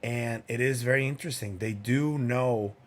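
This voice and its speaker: A man hosting his own podcast, musing aloud in a loose, conversational way. His voice sits at an ordinary middle pitch and he fills his pauses with plenty of ums and uhs. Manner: unhurried, many fillers. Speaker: podcast host. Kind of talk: casual solo commentary.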